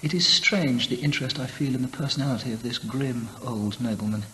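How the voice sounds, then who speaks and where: An actor playing a curious, bookish English gentleman antiquarian in a radio drama, musing hesitantly in old-fashioned literary language. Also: medium pitch, fairly quick, long reverb tail, slight background noise, close to an everyday voice, rough recording. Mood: brooding, entranced.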